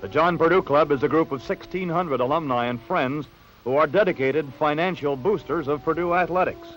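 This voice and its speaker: An American man reading scripted narration for a late-1960s newsreel in a measured, polished announcer delivery. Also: a little high, a bit bright, rough recording. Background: background music.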